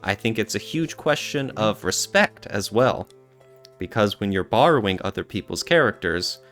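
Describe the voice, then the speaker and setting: A man's voice: fairly low pitch, lively intonation, moderate pace, fairly bright tone, soft background music. A man co-hosting a podcast, talking casually in an earnest, reflective way with some hedging. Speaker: podcast co-host.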